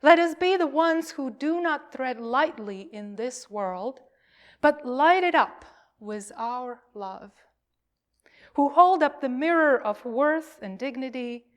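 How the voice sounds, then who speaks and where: A woman minister leading worship, delivering poetic words in a solemn, emphatic and deliberate way. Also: sits high, animated. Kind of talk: call to worship, poetic reading.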